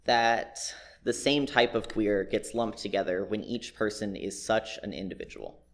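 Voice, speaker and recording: A man's voice: lowish voice, moderate pace. A young man giving a prepared personal reflection at church, plain-spoken and candid.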